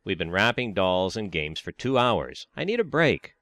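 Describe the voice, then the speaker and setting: An actor playing an elderly husband who is affectionate and teasing in an audio drama. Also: male voice, lowish voice, very animated, moderate pace, fairly bright tone, no echo. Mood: playful. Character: elderly husband, warm and joking.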